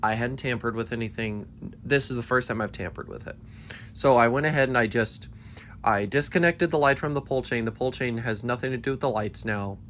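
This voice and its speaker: A man's voice: mid-range voice, fairly quick, fairly bright tone, a little hiss or hum. A young man with a tired, slightly worn voice, chatting eagerly in a casual vlog update, rambling with restarts. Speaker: ceiling fan collector. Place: basement collection room.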